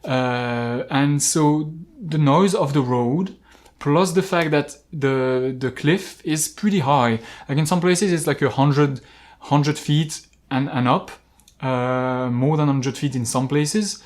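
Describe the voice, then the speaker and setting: A man talking casually as an outdoor climbing vlogger, explaining gear with examples in a halting, rambling way. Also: fairly high pitch. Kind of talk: casual product-talk vlog, explaining with examples.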